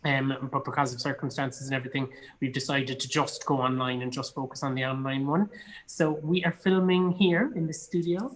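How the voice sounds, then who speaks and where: A man's voice: medium pitch, fairly even intonation, a little fast, a bit bright, poor audio. Chatty, enthusiastic Irish man. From Cork, Ireland. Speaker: guest, actor and theatre producer. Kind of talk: casual online chat. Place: indoors in a studio.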